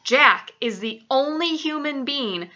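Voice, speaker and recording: A gentle giant from a children's storybook voiced by a woman, cautious and earnest, with quaint muddled grammar. The voice is highish and very bright, on a slightly dull recording. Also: lively intonation, slow pace, full character voice. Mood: earnest explaining. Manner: hushed, earnest, explaining in quaint broken grammar.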